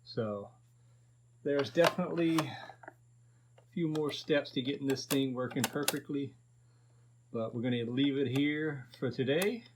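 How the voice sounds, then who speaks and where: Guy in his 50s doing a casual repair video, talks slow, kinda folksy, noisy background w clicks. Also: medium pitch. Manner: folksy, thinking aloud. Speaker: host of a repair video channel. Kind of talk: casual repair narration to viewers.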